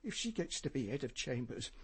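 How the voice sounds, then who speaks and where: A man's voice: mid-range voice, sing-song, a little fast, slightly rough. An actor playing an anxious, deferential ageing senior clerk in a radio crime drama, an older man with an English accent and a clipped, fretful manner. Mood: bitter, worried.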